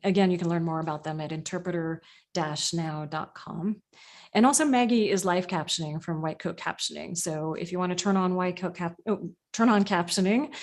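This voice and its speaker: Moderator: woman, lower pitch, casual, slightly stumbling delivery. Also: a little mellow. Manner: friendly, casual, a bit stumbling. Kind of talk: hosting a panel.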